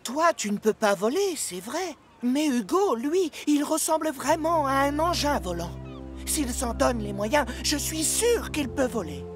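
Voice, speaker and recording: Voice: high-pitched, expressive, a little slow, over music. An actor voicing a small, friendly boat in a cartoon dub, curious and encouraging.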